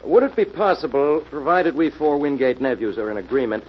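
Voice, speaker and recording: An anxious, well-spoken male heir, played by an actor in a vintage radio mystery. His voice is mid-pitched. The recording sounds dull and noisy. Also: brisk, lightly acted. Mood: insistent, polite. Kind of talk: 1940s radio mystery acting.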